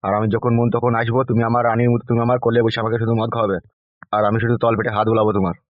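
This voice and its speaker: A man's voice: mid-range voice, flat delivery, racing, no echo, phone-quality sound, natural voice. A man voicing a bullying, lecherous local politician in a comic cartoon, crude and blustering. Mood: possessive demanding. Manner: blustering, crude, exclaiming in repeats.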